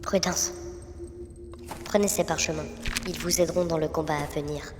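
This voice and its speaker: An actress playing a wary, commanding elf sorceress and warrior in a fantasy audio drama. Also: female voice, low-pitched, fairly even intonation, normal speed, slight background noise, close to an everyday voice. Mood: wary, urgent. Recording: long cave-like reverb under loud music.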